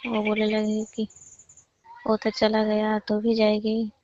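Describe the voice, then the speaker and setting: A woman's voice: monotone, measured pace, darkish tone, slight background noise. A young woman, chatting casually and privately over the phone. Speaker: caller. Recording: muffled low-fidelity phone call recording.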